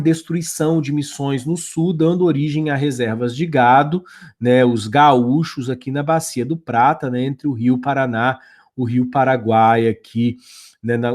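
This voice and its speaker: A man's voice: mid-range voice, a little mellow. A man teaching a history lesson over slides, talking loosely with frequent fillers, restarts and check-ins with the class. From Brazil.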